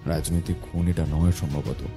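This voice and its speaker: An actor playing a shrewd, self-assured private detective in an audio drama, reasoning aloud in a measured, dry way with thoughtful hums. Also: male voice, a bass voice, steady tone, warm tone, faint music behind. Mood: pondering.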